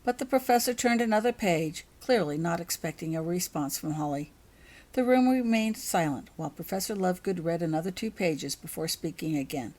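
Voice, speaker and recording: A woman's voice: fairly low pitch, expressive, a little fast, darkish tone. A woman reading her own fantasy fiction aloud as a scripted audiobook narration.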